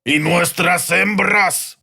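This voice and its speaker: A man voicing a gruff, belligerent male dwarf in an audiobook, roaring and mocking. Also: sits high, steady tone, extremely slow, sharp tone, pitched above the performer's own voice, heavily acted. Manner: roaring, indignant.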